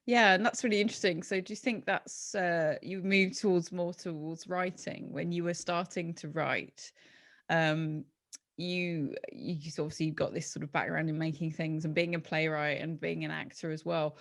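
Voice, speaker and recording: Creaky, lowish-voiced woman interviewer. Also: fairly even intonation, normal speed. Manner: encouraging, loosely phrased questions. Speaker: interviewer, podcast host. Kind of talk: casual interview.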